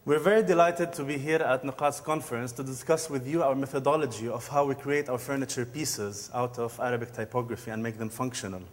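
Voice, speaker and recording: A man's voice: medium pitch, steady tone, a little fast, few pauses. Man presenting in a reverberant hall. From Dubai. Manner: earnest, lecturing with occasional fillers. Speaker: design studio co-founder. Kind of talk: conference presentation. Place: large conference hall. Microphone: handheld or podium microphone.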